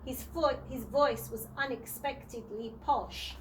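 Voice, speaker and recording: A woman reading a children's storybook aloud in a deliberate way, sometimes stumbling and rereading words. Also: fairly high pitch, slight background noise, rough recording. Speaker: early years practitioner reading to children.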